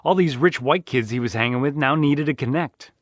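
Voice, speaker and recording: A man's voice: mid-range voice, brisk, dry recording, studio quality, lightly acted. A man playing a loyal, embittered friend of an accused man, talking casually and plainly as if interviewed. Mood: indignant. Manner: casual, sweary, plain-spoken. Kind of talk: casual interview in an audio drama.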